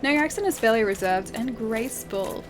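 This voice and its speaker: Australian woman, game streamer, light higher voice, relaxed rambling chatter with frequent laughs, soft music underneath. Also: brisk. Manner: chatty, laughs often, thinks aloud with many fillers. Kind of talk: casual live-stream chat, reading chat aloud.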